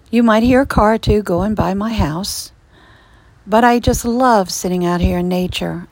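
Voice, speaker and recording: A woman hosting her faith podcast, her voice hoarse and raspy with a gravelly fry. She talks softly and earnestly, reflecting as she goes. The sound is close and dry. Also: mid-range voice, dry recording, poor audio. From Virginia, USA. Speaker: podcast host and ministry leader. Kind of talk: casual devotional podcast talk. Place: screened-in porch outdoors.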